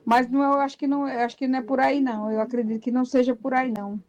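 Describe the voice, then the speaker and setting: An older woman in her sixties records a phone voice message for a radio program. Her voice sits a bit high yet mellow and soft. She rambles and circles back, repeating words with emphatic feeling. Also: little pitch movement, very fast, highs cut off. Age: 60s. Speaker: radio listener sending a voice message.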